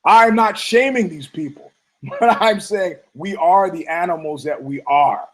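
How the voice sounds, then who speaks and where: A hoarse man hosting a podcast, rambling through a casual, profane monologue full of restarts and asides. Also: high voice, measured pace. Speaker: podcast host.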